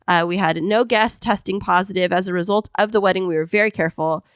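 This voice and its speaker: A woman co-hosting a podcast in casual chat, with a hoarse, raspy voice and a chatty, teasing manner. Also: mid-range voice, fast, dry recording, clean studio sound. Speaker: podcast co-host.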